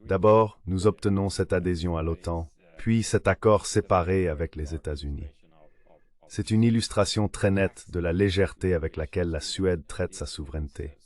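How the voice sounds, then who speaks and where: A man's synthetic voice reading translated political discussion as a measured voice-over. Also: deep voice. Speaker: voice-over translator of interview guests. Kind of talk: dubbed political discussion, measured read delivery.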